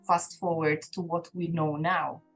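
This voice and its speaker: A woman's voice: sits low, flat delivery, measured pace, faint music behind. A woman, a gospel singer speaking as an interview guest in an earnest, reflective way, often restarting her sentences. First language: Maltese. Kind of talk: casual interview chat.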